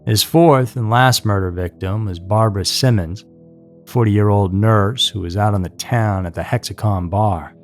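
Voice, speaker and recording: A man's voice: fairly low pitch, normal speed. A man reading scripted true-crime narration as a narrator. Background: music.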